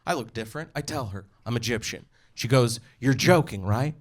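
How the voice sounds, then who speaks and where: A man's voice: medium pitch, a little fast. A man doing stand-up comedy in front of a live audience, telling a story casually and acting out dialogue. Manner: casual, animated, swearing, acts out dialogue. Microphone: stage microphone. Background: audience laughter.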